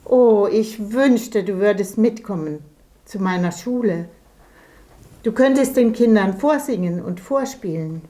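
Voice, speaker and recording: Pleading teacher, played by a woman: mellow, slow, earnest. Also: medium pitch, natural voice. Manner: pleading, warm-hearted. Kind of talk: amateur church nativity play.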